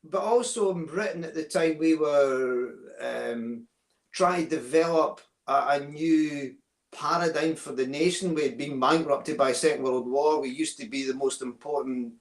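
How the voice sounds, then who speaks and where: A man's voice: a little high, unhurried, echoey room. A Scottish man in his sixties, a guest in an interview, explaining ideas thoughtfully, often repeating words and checking in with "right?". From Scotland. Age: 60s. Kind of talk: reflective interview talk.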